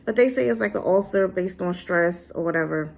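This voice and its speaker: A divorced adult woman giving a sassy, animated casual review straight to her viewers. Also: fairly low pitch, a little fast, gravelly. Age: adult, been married and divorced. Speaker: drama reviewer.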